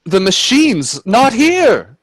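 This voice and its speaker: A man's voice: extremely high pitch, very animated, slow pace, slight background noise, full character voice. A man playing a cautious, anxious Edwardian time traveller in a radio play, earnest and reflective. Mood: alarmed. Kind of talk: radio play acting and narration.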